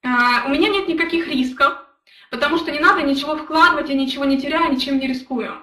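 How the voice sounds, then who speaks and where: High, bright-voiced woman telling her story. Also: quick pace, reverberant, distant mic. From Ukraine. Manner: earnest, storytelling, addresses chat viewers directly. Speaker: network marketing leader. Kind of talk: motivational live stream talk.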